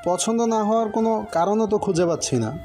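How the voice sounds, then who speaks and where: An actor playing a gentle, reassuring young newlywed husband in an audio love story, soothing and a little teasing. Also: male voice, sits high, a little fast, soft background music. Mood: tender, matter-of-fact.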